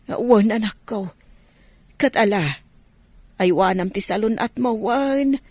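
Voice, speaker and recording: A woman playing a grateful, gentle elderly village grandmother in an Ilocano radio drama. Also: medium pitch, takes it slowly, no room sound. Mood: affectionate, reassuring.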